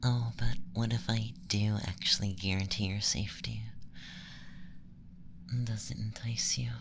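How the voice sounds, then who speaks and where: A performer voicing an aloof, teasing alien femboy interrogator in a slow, deliberate, soft ASMR whisper. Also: medium pitch, fairly even intonation, gravelly, background noise. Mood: teasing, probing.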